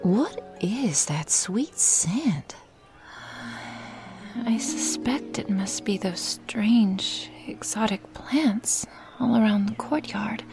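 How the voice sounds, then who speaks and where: Woman acting genteel flustered lady, breathy near-whisper, formal wording, slow hesitant audio drama delivery, faint music. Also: medium pitch, lively intonation. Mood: woozy. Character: prim, polite, easily flustered lady visitor.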